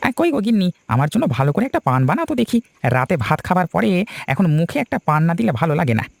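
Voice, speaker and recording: A man voicing a bossy, scheming middle-aged village farmer in a cartoon, talking in colloquial family speech with drawn-out interjections. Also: high voice, wildly swooping pitch, creaky, no echo, studio quality, exaggerated voice. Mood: wheedling. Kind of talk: cartoon dialogue.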